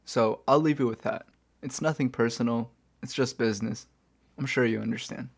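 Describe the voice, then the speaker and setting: A smug, playful supervillain , acted by a man, speaks softly at a medium pitch. He is wry and casually conversational, like a kidnapper chatting with an old rival in an ASMR roleplay. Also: fairly even intonation, normal speed, gravelly. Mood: cold, threatening. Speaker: audio roleplay performer. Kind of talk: soft-spoken ASMR roleplay acting.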